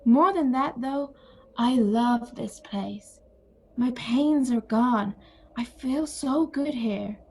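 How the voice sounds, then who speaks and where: A woman acting a calm, wry older sister in an audio play. Her voice sits high and has a composed, bookish manner, with clear background noise and ambience around it. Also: takes it slowly. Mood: content, wry. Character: calm wry older sister Bea, former literature teacher.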